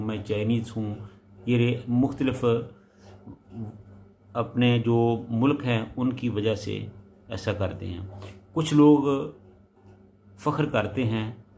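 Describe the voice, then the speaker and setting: A man preaching a sermon. He recites a verse, then explains it in short, measured phrases that rise for emphasis. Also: on the low side, a little mellow, slight background noise.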